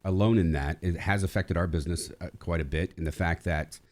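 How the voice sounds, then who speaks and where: A man with a low-pitched voice works as an interview host on radio. He speaks conversationally, feeling his way through each question with small repeats and pauses. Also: steady tone, darkish tone. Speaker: radio show host interviewing a former student.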